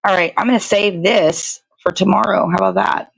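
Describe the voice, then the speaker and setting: A woman's voice: medium pitch, steady tone, a little fast, gravelly, background noise. A woman hosting a morning show with casual, laid-back, rambling chat.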